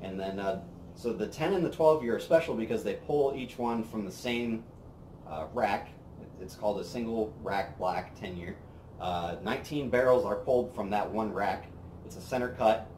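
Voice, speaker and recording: A young man hosting a whiskey review, chatting casually on an outdoor patio, relaxed and rambling with plenty of fillers. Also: lowish voice, normal speed, a little hiss or hum. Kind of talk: casual tasting chat. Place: outdoor patio by a brick wall. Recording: roomy outdoor sound with faint ambience.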